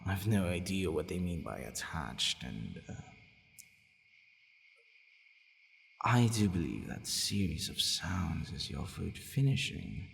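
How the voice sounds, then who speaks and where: A man's voice: deep voice, vocal fry, heavily acted. A man playing a haughty, possessive vampire who is gradually growing fond of his captive, in an ASMR roleplay, with a teasing, commanding manner and hesitant asides. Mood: explanatory, casual. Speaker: audio roleplay voice actor. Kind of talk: ASMR boyfriend roleplay acting. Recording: long reverberant hall echo.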